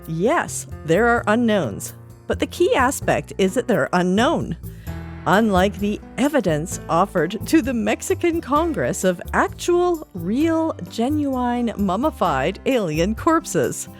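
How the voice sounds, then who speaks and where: A woman reading a newsletter expressively for a podcast. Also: mid-range voice.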